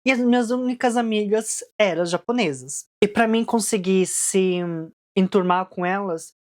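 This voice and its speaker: A woman's voice: mid-range voice, moderate pace. A woman in her twenties who grew up in Japan, casually and reflectively telling her life story in a vlog, with frequent fillers. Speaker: vlogger.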